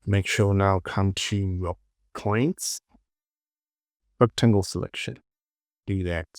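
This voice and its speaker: A man giving an informal software tutorial, muttering short clipped instructions to himself and often repeating words. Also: low voice, slow pace, dry recording, studio quality. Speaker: 3D modeling tutorial presenter.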